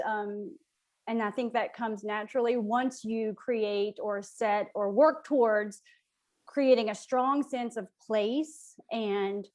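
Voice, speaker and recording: A woman playwright giving a casual, reflective interview answer, pausing often as she thinks. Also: fairly high pitch, darkish tone.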